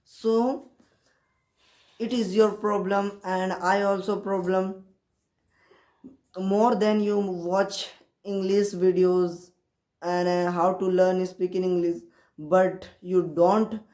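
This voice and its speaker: A woman with an Indian accent and Hindi as her native language, talking casually and haltingly in learner's English, repeating herself to encourage viewers. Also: mid-range voice, warm tone. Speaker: English-learning video creator. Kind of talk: casual motivational talk to camera. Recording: roomy, echoey sound.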